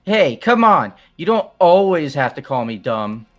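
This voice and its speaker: A man's voice: fairly high pitch, sing-song, unhurried, soft background music. A man voicing a dim, easygoing, exasperated young man in a game playthrough. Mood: earnest, casual. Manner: incredulous, casual, earnest. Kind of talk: voiced visual novel game playthrough.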